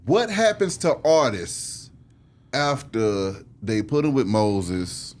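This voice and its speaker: A man's voice: medium pitch, very animated, slow. A man hosting a casual interview, blunt and slangy in his questions and remarks. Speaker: interviewer, host.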